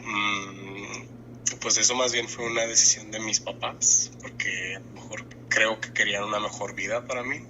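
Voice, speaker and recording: A man, answering interview questions in a casual, thoughtful way, often pausing and restarting to find his words. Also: on the low side, fairly even intonation, background noise. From Mexico-US border. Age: 20s. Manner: thoughtful, pauses and restarts while searching for words.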